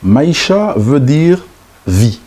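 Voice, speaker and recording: Man, radio host, announcing, husky voice, lively pitch. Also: very slow. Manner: announcing, reading a list of dates. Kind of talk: radio interview introduction.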